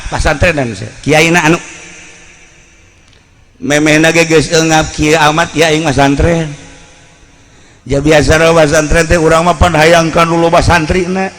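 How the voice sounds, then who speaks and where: Male Islamic preacher, Sundanese accent, slow-paced and bright-voiced, spinning a moral tale in blunt, earthy storyteller fashion. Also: on the high side. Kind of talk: Sundanese sermon told as a folk tale.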